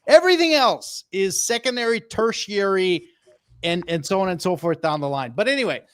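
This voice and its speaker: A man's voice: high voice, lively intonation, moderate pace, no room sound, studio quality. A man presenting music picks on an audio roundtable show, enthusiastic and rambling, often repeating words. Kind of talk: casual roundtable chat.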